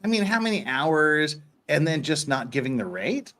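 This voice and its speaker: A man hosting a dramatic reading of courtroom dialogue, theatrical and animated, with casual asides. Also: on the high side, normal speed.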